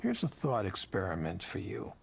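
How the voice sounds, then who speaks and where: An actor playing a jaded, whiskey-drinking tech visionary in a visual novel, speechifying persuasively in a ragged, creaky voice. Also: male voice, sits low, wildly swooping pitch, normal speed, exaggerated voice. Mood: musing, persuasive. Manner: speechifying, persuasive, world-weary. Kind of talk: dramatic visual novel voice acting.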